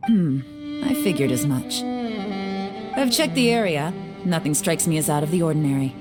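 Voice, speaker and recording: A woman's voice: lowish voice, sing-song, normal speed. A woman playing a shrewd, enigmatic young secret agent in a video game, cool and knowing. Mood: cool, amused. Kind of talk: video game cutscene acting. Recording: game music behind.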